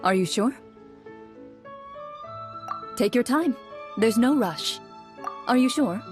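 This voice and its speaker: A woman playing a calm, poised young game master in a video game, with a measured, explanatory delivery. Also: a little high, music in the background. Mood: patient. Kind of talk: video game voice acting, narration.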